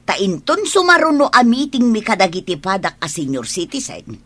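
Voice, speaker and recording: Elderly Filipino mother, radio drama, husky, very animated, coaxing, motherly. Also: medium pitch, moderate pace, dry recording. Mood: pleased, matchmaking. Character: doting elderly mother, a cheerful matchmaking senior citizen.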